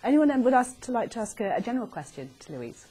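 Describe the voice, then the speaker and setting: A woman's voice: on the high side, very animated, quick pace, warm tone, slight background noise. A woman interviewer chatting conversationally as she hosts a live on-stage interview before an audience.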